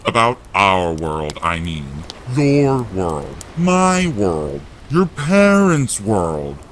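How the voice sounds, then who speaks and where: A man voicing a huge, blustering, protective giant in an audio drama, growling and booming in rough rustic speech. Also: sing-song, extremely slow, a little hiss or hum, full character voice. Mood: outraged.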